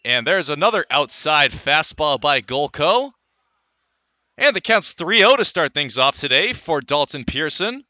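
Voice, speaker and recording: A male radio baseball announcer with a very bright, forceful voice pitched a little high. He calls the game live in play-by-play style, moving through stats in a measured way, and the sound is dry and close. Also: big pitch swings, dry recording. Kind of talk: live sports play-by-play commentary.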